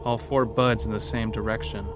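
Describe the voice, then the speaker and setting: Low-voiced man narrating horror. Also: little pitch movement, moderate pace. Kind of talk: scripted first-person horror narration. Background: background music.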